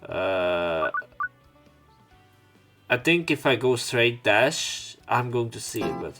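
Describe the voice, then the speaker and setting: A man's voice: medium pitch, big pitch swings, slow. A man hosting a let's play, reading a game's narration aloud and commenting as he goes. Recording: game music behind the voice. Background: video game music.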